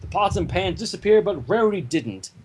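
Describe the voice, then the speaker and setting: A man narrating a fan-fiction story aloud in an animated storytelling style. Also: sits high, fairly quick, slight background noise.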